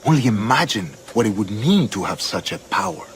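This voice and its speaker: Solemn, deep-voiced Spanish grandmaster. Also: male voice, big pitch swings, a little hiss or hum. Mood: solemn, zealous. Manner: measured, formal, grandiose oratory. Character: authoritative scheming Templar grandmaster and colonial governor, solemn older man. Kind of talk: dramatic video game acting.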